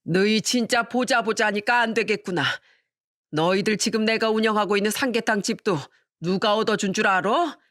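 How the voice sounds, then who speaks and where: A woman's voice: medium pitch, normal speed, fairly bright tone, dry recording, clean studio sound, voice pushed up, natural voice. A woman voicing a furious elderly mother-in-law who scolds her sons emphatically in a dramatized family story. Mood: furious, scolding.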